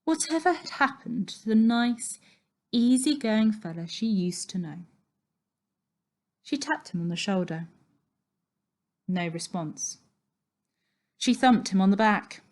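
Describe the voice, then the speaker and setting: A woman reading a children's story aloud as an expressive narrator. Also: mid-range voice, slow pace, pauses often. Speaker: story reader.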